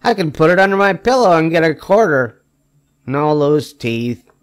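An older man reading a children's picture book aloud expressively, with emphatic phrasing and drawn-out wry punchlines. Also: a little high, big pitch swings, clear, resonant tone. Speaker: storybook reader.

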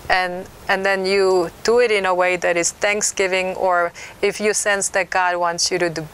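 An older woman, a reverend guest, calmly explaining a prayer practice in a studio interview, with frequent fillers. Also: lowish voice, poor audio. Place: TV news studio set.

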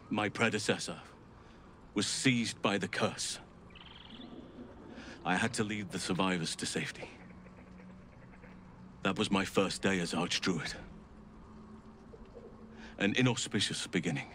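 An actor playing a solemn, gentle middle-aged elven archdruid in a fantasy game, speaking in a measured, earnest, formal way. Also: male voice, lowish voice, slight background noise. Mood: solemn, regretful. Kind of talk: scripted fantasy game dialogue.

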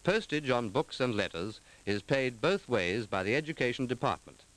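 A man reading scripted narration for a 1940s documentary film in a measured, formal, articulate manner. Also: medium pitch, animated, a bit bright, poor audio. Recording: 1940s film soundtrack with music.

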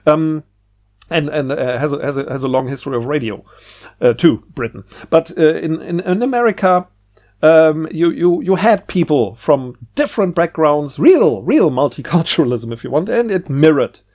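Male, higher-pitched voice, unscripted book reviewer, casual, hesitant, rambling, repeats words. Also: lively intonation, moderate pace, a little mellow. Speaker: book review video host.

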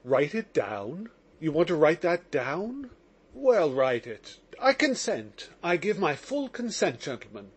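A man's voice: on the high side, huge pitch swings, breathy edge, exaggerated voice. A man voicing an agitated, slightly drunk young man under suspicion, talking nervously and effusively. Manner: nervous, rapid, pleading.

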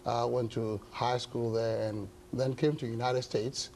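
A middle-aged man with a Ghanaian accent, speaking as an interview guest in measured, hesitant phrases. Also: medium pitch, level tone, creaky, darkish tone, a little hiss or hum. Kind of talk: studio interview, measured explanation. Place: indoor television studio set. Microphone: lapel mic.

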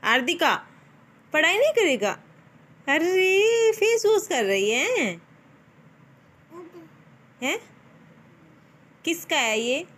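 A woman's voice: extremely high pitch, sing-song, extremely slow, pauses often, a bit bright. A young woman talking playfully to her small child in a coaxing, sing-song way. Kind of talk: playful child-directed chat. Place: indoors in a bedroom at home.

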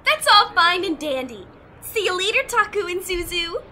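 A hyperactive, colorful young woman from an anime fan audio drama. Her voice is piercingly high and bright, swooping widely as she gushes and squeals. Faint noise sits behind her. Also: big pitch swings, moderate pace, exaggerated voice. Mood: cheery. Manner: gushing, giggly, squealing exclamations.

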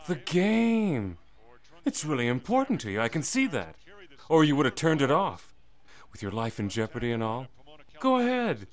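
A man's voice: mid-range voice, wildly swooping pitch, normal speed. A man acting in a radio drama as a flippant, exasperated crook sidekick who chides his partner. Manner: flippant, chiding.

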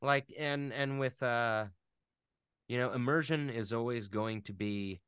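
A man speaking as an interview guest in casual chat, thoughtful and often halting as he searches for words. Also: medium pitch, fairly bright tone, clear, resonant tone, dry recording, clean studio sound. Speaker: interview guest, video game voice actor.

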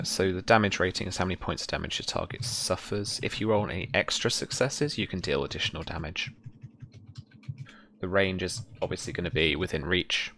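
A man's voice: deep voice, fairly bright tone, a little hiss or hum. A young man working as game master, casually giving rulings and narrating the scene in an easygoing, informal way. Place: indoor room at home, video call.

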